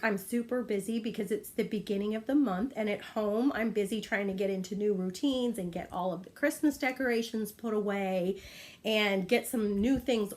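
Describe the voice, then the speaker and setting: A middle-aged woman, talking casually and enthusiastically through a planner tutorial, with a raspy, congested voice from a cold. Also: mid-range voice. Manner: friendly, enthusiastic, with frequent ums. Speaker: planner vlogger. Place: small home craft room.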